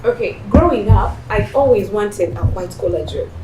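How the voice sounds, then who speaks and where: A young woman from Rwanda, a guest in a casual interview, talking in a relaxed, rambling way with fillers. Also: mid-range voice, normal speed. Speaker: guest, beauty business owner. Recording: echoey, distant mic, noisy.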